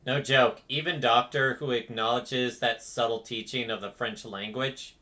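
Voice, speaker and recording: A woman's voice: very deep voice, steady tone, a little slow, fairly bright tone. A woman giving casual, playful reaction commentary on a game, with dry asides.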